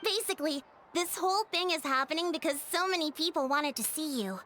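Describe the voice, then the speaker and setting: Casting: earnest young female chief, very high voice, flustered, anime game, music underneath. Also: lively intonation, brisk, a bit bright. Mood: upbeat explaining. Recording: music playing behind the voice.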